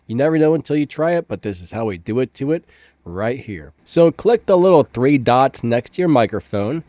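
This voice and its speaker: A man's voice: medium pitch, expressive, moderate pace, warm tone, no room sound, studio quality. A man hosting a casual tech tutorial, upbeat and chatty, with stuffed-up sinuses, recorded at home with a fan running. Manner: upbeat, chatty, says "ba-bam".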